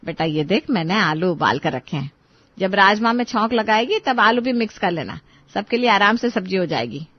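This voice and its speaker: A woman's voice: medium pitch, animated, normal speed, dry recording, muffled recording, lightly acted. A woman playing a middle-aged, bossy yet affectionate mother-in-law in a dramatized story, instructing in a homely, motherly way. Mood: inquiring.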